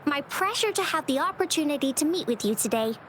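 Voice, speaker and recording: A woman's voice: sits high, expressive, fast, a bit bright, slight background noise. A woman voicing a sweet, polite, slightly awkward young girl in a game, with overly formal courtesy. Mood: polite, eager. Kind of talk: scripted game dialogue.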